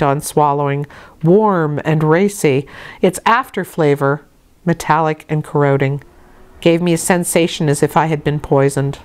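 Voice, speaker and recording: A woman reading a literary novel aloud as expressive narration, with measured, deliberate phrasing. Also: deep voice. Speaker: audiobook reader.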